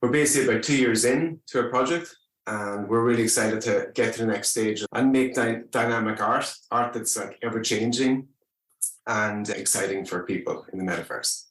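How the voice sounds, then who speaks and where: A man's voice: medium pitch, moderate pace, fairly bright tone. A middle-aged man casually introducing himself and his project as a startup founder. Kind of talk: casual self-introduction pitch. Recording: echoey room.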